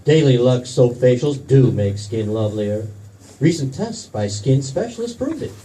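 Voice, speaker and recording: An affable 1940s radio announcer, played by a man, mellow and lively in pitch. He gives a smooth commercial pitch from a little way off the mic. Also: normal speed, lightly acted. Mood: persuasive. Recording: distant mic, faint room noise.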